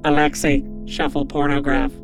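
Alien commander, played by an actor, monotone, unhurried, formal scrambled English, lewdly stately, music loud behind. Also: mid-range voice, close to an everyday voice. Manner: slow, formal, oddly scrambled word order. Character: alien spaceship commander speaking garbled, lewd broken English. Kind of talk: comedy audio drama acting.

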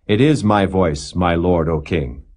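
A man's voice: sits low, measured pace, a little mellow. A man reading a humble ancient Israelite warrior who pleads his innocence in formal, archaic, emphatic oratory. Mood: earnest pleading.

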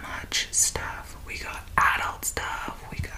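A woman whispering softly to her viewers in an ASMR mukbang video. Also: slow.

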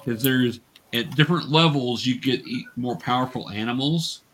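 A man's voice: mid-range voice, normal speed, fairly bright tone. Man game master over background noise. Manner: patient, explanatory. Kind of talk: casual tabletop game narration and teaching.

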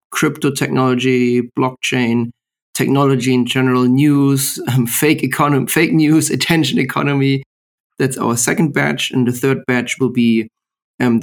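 A man hosting a podcast over a call, upbeat and summarizing topics for listeners. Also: mid-range voice. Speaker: podcast host. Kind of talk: casual interview chat. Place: remote call. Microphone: video call.

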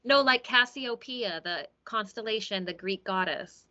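A woman's voice: medium pitch, measured pace, pushed and bright, highs cut off. A woman co-hosting a podcast, chatting casually and gushing enthusiastically. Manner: gushing, enthusiastic, explains at length. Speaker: podcast co-host. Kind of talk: casual chat about song contests.

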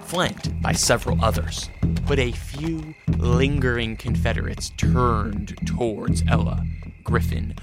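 Brooding male vampire storyteller: gravelly, measured, grave, over a prominent music bed. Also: mid-range voice. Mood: solemn. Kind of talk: scripted radio drama narration and dialogue.

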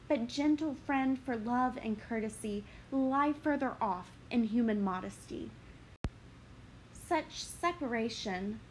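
A woman voicing a modest, gentle young woman, reading verse slowly. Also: a little high, a little hiss or hum, rough recording. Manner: gentle, measured verse delivery.

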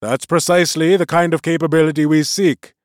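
Narrator doing a clipped, hardy cold-land creature, pitched up and louder, dry sound. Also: male voice, little pitch movement, a little fast, no room sound, lightly acted. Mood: terse.

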